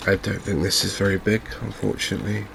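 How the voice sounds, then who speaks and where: A man hosting a gaming video in a softly spoken ASMR commentary style, unhurried and hesitant, musing to himself. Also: low-pitched, fairly even intonation, creaky, background noise.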